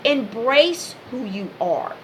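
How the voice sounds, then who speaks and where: A woman's voice: on the high side, very animated, takes it slowly, a little hiss or hum. A woman giving an impassioned, informal monologue straight to the listener, speaking in emphatic, repetitive short phrases.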